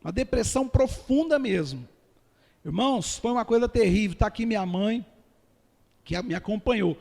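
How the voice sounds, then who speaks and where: High-voiced Brazilian pastor in echoing hall. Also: male voice, animated, vocal fry, darkish tone. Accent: Brazilian Portuguese. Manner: addresses listeners as dear ones and brothers, dramatic slowdowns. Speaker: pastor giving testimony. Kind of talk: sermon testimony to a congregation. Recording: long hall reverb.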